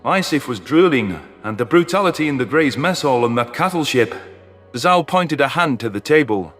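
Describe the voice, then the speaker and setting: A man's voice: medium pitch, lively intonation, moderate pace, a bit bright. Man narrating a sci-fi story over music. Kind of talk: first-person scripted story narration. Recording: music under the voice.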